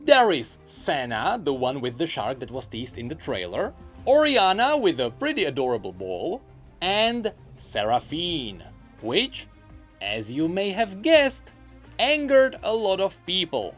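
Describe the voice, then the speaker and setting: A man hosting a gaming news show, reading scripted narration in an emphatic way with stretched, swooping stresses. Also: fairly high pitch. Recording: music playing behind the voice. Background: background music.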